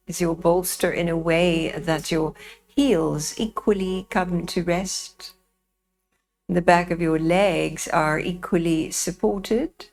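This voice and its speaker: A woman teaching yoga nidra, guiding a relaxation in a soothing, gentle way with unhurried pauses. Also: lowish voice. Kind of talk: guided relaxation, meditation instruction.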